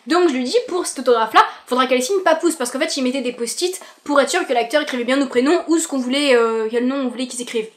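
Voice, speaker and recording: A young woman with a high voice chats casually, telling a story. She teases her friend in a lively, talkative way. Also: fast, few pauses. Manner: teasing, chatty. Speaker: co-host friend.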